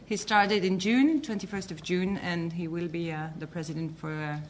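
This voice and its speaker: A woman's voice: on the low side, steady tone, moderate pace. Woman press officer, formal and polite, introduces the speaker; audible background noise and clicks. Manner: polite, formal, apologetic, with fillers. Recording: noisy room with clicks.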